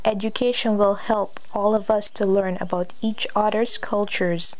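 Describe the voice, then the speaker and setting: A woman's voice: mid-range voice, steady tone, moderate pace, darkish tone. Young woman reading a personal essay. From Guyana. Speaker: student. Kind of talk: read personal essay narration.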